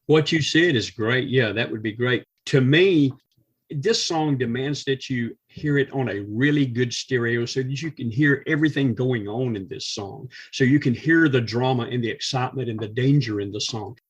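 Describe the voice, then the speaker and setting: Husky male drummer, enthusiastic podcast guest. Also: mid-range voice, normal speed. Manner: emphatic, enthusiastic. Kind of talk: enthusiastic casual music talk.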